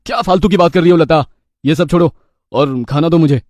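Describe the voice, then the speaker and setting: Man acting sly young husband in a story, evasive, mellow highish voice, dry sound. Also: a little fast, dry recording, natural voice. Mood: dismissive. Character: smooth-talking, evasive young cheating husband.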